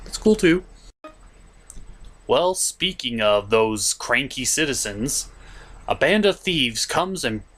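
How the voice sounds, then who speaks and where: A man delivers a comedic film review with lots of swing in his pitch. His manner is sarcastic and exasperated, and he reacts to the story as he tells it. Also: expressive, slow pace, long pauses, a bit bright.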